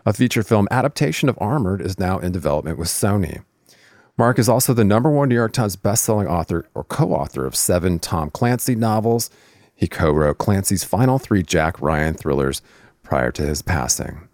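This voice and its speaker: A man's voice: low-pitched, very animated, moderate pace, vocal fry, a little mellow, dry recording, studio quality. A man hosting a podcast, delivering scripted intros, sponsor reads and interview questions. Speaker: podcast host.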